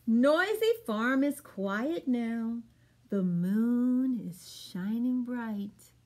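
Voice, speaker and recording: A middle-aged woman leads a storytime for small children. Her voice sits high, and she takes her time with an unhurried, expressive delivery. Phrases are set out clearly for young listeners. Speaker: children's storytime host at a public library. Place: small plain room, indoors.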